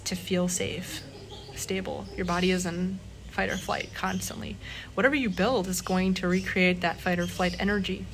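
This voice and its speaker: A woman talking casually to her vlog audience in a reflective, halting way, often pausing between short phrases. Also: lowish voice. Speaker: vlogger. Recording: clearly noisy background ambience.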